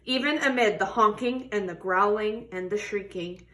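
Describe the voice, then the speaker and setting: A woman's voice: mid-range voice, normal speed, a bit bright. A woman reading a children's picture book aloud expressively, stretching words for emphasis and putting questions to young listeners. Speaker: read-aloud storybook reader.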